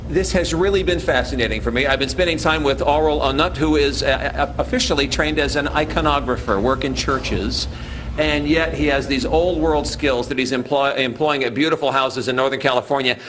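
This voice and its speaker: A man's voice: mid-range voice, brisk, noisy background. A man reporting live for local TV news from inside a house under construction, interviewing with chatty enthusiasm. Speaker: TV field reporter. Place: home under construction, indoors.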